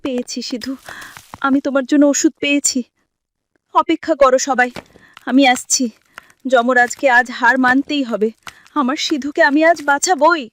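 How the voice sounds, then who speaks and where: A woman's voice: sits high, moderate pace, long pauses, a bit bright. A woman playing a determined, devoted wife in a cartoon drama, speaking with fervent emotion. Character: Mithila, determined devoted wife fighting to save husband. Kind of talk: Bengali cartoon drama acting.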